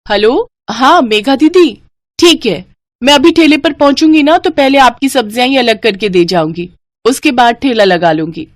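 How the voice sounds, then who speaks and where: An eager, warm-hearted young vegetable seller from a cartoon moral story, voiced by a woman. She speaks a touch higher than the narration, with quick, reassuring friendliness. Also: expressive, no echo, studio quality, natural voice.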